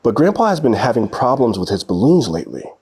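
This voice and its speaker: Husky, animated male storyteller. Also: medium pitch, quick pace. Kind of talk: expressive children's picture book read-aloud.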